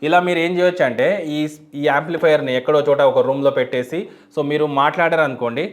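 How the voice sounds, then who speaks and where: A man's voice: on the high side, fast talker. A man explaining a product step by step as a tech reviewer, in an unboxing video style. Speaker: YouTube tech reviewer and host.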